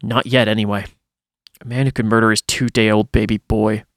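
A man's voice: lowish voice, level tone, normal speed, fairly bright tone, dry recording, studio quality. A man reading a first-person horror story aloud in a dramatic storytelling style, as a podcast host would.

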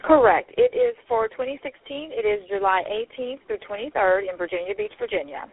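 A woman's voice: on the high side, huge pitch swings, fairly quick, gravelly, husky. Chatty woman in her forties on a phone line. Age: 40s. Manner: upbeat, chatty, many fillers. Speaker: pageant system founder, guest. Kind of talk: casual interview over the phone.

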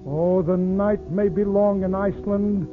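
A man's voice: high-pitched, steady tone, a little slow, phone-quality sound, over music. A man reciting patriotic verse in a theatrical, rhythmic manner, in the style of 1940s radio drama. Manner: theatrical, rhythmic, rhyming delivery. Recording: scratchy, band-limited 1940s radio broadcast.

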